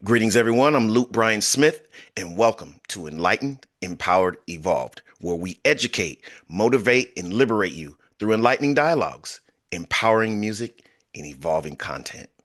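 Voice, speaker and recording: A man hosting a podcast interview, welcoming his guest in an effusive, formal way with drawn-out phrasing. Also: on the low side, lively intonation, a bit bright. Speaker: podcast host.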